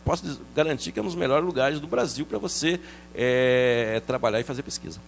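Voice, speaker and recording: A middle-aged man from France, a researcher explaining his work in a TV interview, quick and animated, with many restarts and fillers. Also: mid-range voice, gravelly, slight background noise. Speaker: researcher guest.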